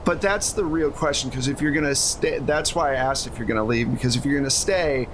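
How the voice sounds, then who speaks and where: A man running a tabletop game as game master, narrating casually and explaining things conversationally. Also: a little fast. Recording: steady low rumble and hum behind voice.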